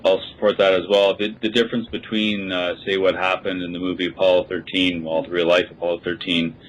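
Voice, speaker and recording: A man's voice: deep voice, level tone, fairly quick, lo-fi sound, slight background noise. A man giving an explanation as an expert podcast guest. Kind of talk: explanatory discussion.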